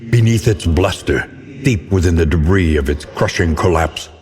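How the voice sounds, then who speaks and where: An actor playing a grave, foreboding narrator of a doomed gothic world, solemn and portentous in a theatrical video game narration. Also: male voice, deep voice, a little mellow, natural voice. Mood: grave, brooding. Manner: solemn, portentous, deliberate dramatic delivery. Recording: long cavernous reverb on the voice.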